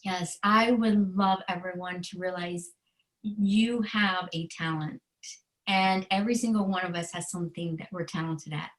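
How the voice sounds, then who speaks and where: A woman who is a children's author, reading aloud and explaining in a deliberate, earnest way with simple phrasing. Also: on the low side, fairly even intonation, low-quality recording. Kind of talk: storybook read-aloud and explanation.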